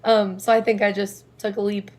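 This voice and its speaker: A young woman singer with a low, raspy voice, chatting casually and laughing often as an interview guest. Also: little pitch movement, moderate pace, a little mellow. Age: young adult.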